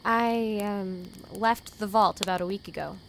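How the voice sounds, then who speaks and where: A woman's voice: mid-range voice, fairly even intonation, measured pace, noisy background, close to an everyday voice. A woman playing a naive, hesitant young vault dweller in a post-apocalyptic audio drama, polite but a little grumpy. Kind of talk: fan audio drama acting.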